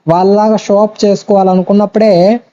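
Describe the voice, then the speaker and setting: High, very mellow Rayalaseema Telugu man advising. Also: level tone, fairly quick, clear, resonant tone. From Kurnool, Andhra Pradesh, India. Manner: earnest, admonishing, repeats phrases and checks for agreement. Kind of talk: advisory phone conversation. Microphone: phone call. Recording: dull lo-fi phone call recording.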